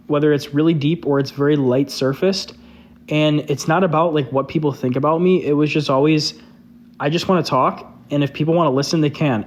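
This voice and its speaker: A young man chatting casually on a live stream, loose and candid, filling his talk with "like". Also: on the high side. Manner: loose and candid, heavy use of "like". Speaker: social media creator hosting a live stream.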